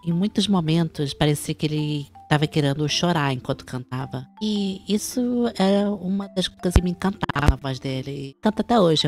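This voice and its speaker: Woman, Brazilian accent, deep-set pitch, casual talk show host, background music. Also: darkish tone. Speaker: host of an online chat show. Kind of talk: casual chat.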